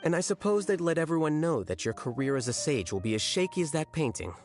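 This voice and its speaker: An actor playing an aloof young male scholar who talks in a calm, deadpan, cutting way. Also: fairly high pitch, quick pace, faint music behind. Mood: dry. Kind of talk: video game dialogue.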